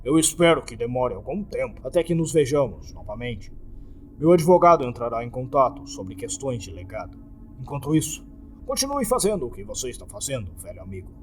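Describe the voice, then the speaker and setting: A man's voice: medium pitch, sing-song, a little fast, faint music behind, natural voice. A Brazilian man voicing a courteous, resolute elderly employer in a horror story, speaking formally. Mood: solemn farewell.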